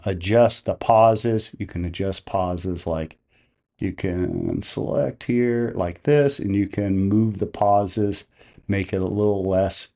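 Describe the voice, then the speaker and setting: A man presenting a software tutorial, explaining each step in a methodical, plain-spoken way. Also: lowish voice.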